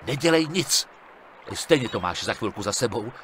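A man's voice: mid-range voice, lively intonation, fairly bright tone, a little hiss or hum, natural voice. A man voicing a sarcastic young monk adventurer in an adventure game, dry and mocking in his remarks. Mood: taunting. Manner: dry, mocking, quick-witted. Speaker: game protagonist.

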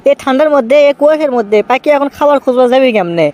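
A man's voice: very high-pitched, animated, rapid-fire, a little mellow. A man voicing a whiny, sulky young boyfriend in a comic cartoon, with a Bogura accent from northern Bangladesh. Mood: scheming, evasive. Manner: pleading, stubborn, breaks into teasing song.

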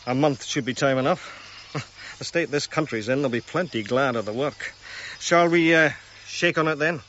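An actor playing a keen, self-assured archaeologist in a radio drama, talking in a brisk, informal, hearty way. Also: male voice, medium pitch, fairly bright tone, dull audio, a little hiss or hum, lightly acted. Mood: hearty, persuasive.

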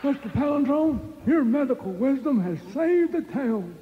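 A frantic, stammering townsman squeaks in a very high falsetto. The radio recording sounds dull and carries a long echo. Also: expressive, moderate pace. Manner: stammering, panicky.

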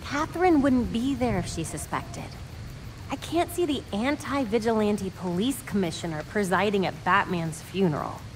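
Female actor as young vigilante woman, mid register, pragmatic, businesslike, game dialogue, soft rain noise. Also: mid-range voice, animated, normal speed, lightly acted, rough recording. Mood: pointed, skeptical.

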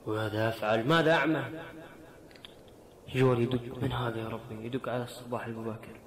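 A man's voice: medium pitch, fairly even intonation, fast. A young man, fretting aloud to himself in an acted skit on an outdoor rooftop. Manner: fretful, talks to himself. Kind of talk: acted self-talk in a comedy skit.

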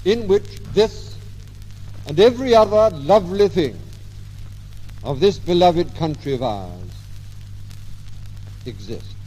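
An English man delivers a solemn sermon very slowly, his high voice rising and falling. It rings through a large church with long reverb on a faintly noisy old LP. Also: expressive, mellow, breathy edge. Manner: measured, solemn oratory in short phrases. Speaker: preacher. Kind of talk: formal commemoration sermon. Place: large stone abbey church. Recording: 1950 LP, long abbey reverb, hissy.

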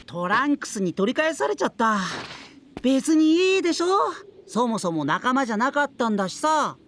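A woman's voice: high-pitched, sing-song, normal speed, slight background noise. A woman voicing a sly, boyish schemer with a casual, teasing, breezy manner in an anime game. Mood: flippant, teasing. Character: flippant, sly, boyish time-meddling schemer. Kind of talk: Japanese anime game voice acting. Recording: music behind the voice.

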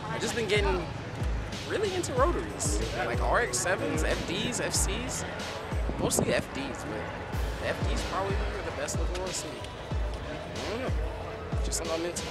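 A young man talks into a handheld camera in a relaxed, chatty way, dropping plenty of ums. His voice sits a little high for a man. Loud beat music plays underneath him. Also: normal speed, pauses often. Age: young adult. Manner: laid-back, chatty, frequent ums. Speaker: vlogger host. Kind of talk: casual vlog narration. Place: outdoor car event lot and parked car. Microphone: handheld camera held close.

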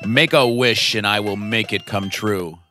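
A jolly, obliging cartoon genie, with a lowish, forceful, bright voice. He chuckles and makes slow theatrical declarations over background music. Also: full character voice. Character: jolly male genie of a magic lamp, obliging. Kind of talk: children's cartoon acting.

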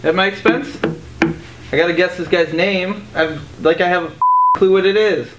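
A man acts out an exasperated young guy in an amateur short film. His voice sits a little high, and he talks in sarcastic slang. There is some faint noise in the recording. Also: fairly even intonation, moderate pace, lightly acted.

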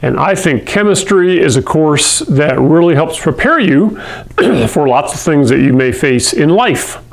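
A middle-aged man from Hawaii teaching chemistry to camera in a classroom, talking in a friendly, conversational way and often clearing his throat. He speaks into a lapel mic. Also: fairly high pitch, lively intonation, measured pace. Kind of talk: video lesson to camera. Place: classroom in front of a whiteboard.

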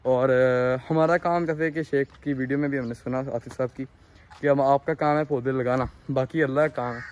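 A man's voice: medium pitch, steady tone, moderate pace, a little hiss or hum. Young Pakistani man giving a casual tour. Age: young adult. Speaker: farm owner and vlogger. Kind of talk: casual vlog tour, explaining to viewers. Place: outdoors on an open farm. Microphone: handheld phone at arm's length.

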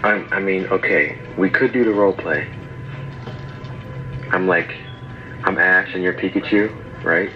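A man's voice: sits low, measured pace, pauses often. A young man pranking with a staged phone call, speaking in a brazen, casual manner. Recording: dull lo-fi playback with music behind. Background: music.

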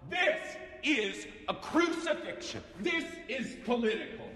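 A man's voice: very high voice, expressive, a bit bright, heavily acted. An actor in his fifties playing an indignant, sardonic intelligence analyst in a film, theatrical and profane, through an electronic voice changer. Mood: outraged, indignant. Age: 50s. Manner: theatrical, deliberate, profane, incredulous. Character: indignant, sardonic middle-aged intelligence analyst being demoted. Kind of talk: film acting. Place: small office. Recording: echoey hall-like reverb, background noise.

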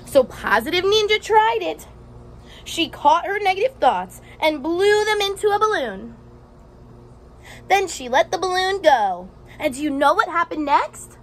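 A young woman teacher reading a picture book aloud to children outdoors, expressive and encouraging, with questions and exaggerated emphasis. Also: extremely high pitch. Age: young adult. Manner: expressive, asks questions and pauses for answers. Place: outdoors on a house porch. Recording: faint outdoor background noise.

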